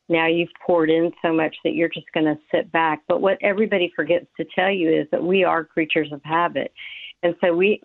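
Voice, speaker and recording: A woman's voice: low voice, dry recording. Radio guest, woman therapist, earnest explainer, thin phone-line sound, dry. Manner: earnest, explanatory, many fillers. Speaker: mental health professional, guest. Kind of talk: radio interview.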